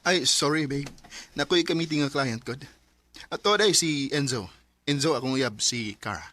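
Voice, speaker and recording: A man's voice: medium pitch, normal speed, sharp tone, lightly acted. An actor playing a closeted man torn between two lovers in a Philippine radio drama, earnest and pleading, with a Bisaya Filipino accent. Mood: apologetic.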